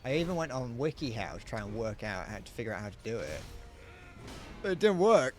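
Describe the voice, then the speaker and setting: A man's voice: medium pitch, big pitch swings, a little fast, a little mellow, faint music behind. A young man streaming a game, chatting casually in a rambling, self-deprecating way that keeps trailing off mid-sentence. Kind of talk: casual chat while gaming.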